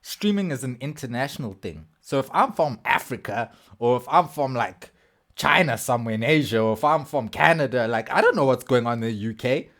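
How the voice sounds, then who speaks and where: A man's voice: mid-range voice, lively intonation, a little fast, fairly bright tone. A man giving casual, blunt, slangy reaction commentary on video clips. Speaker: reaction streamer.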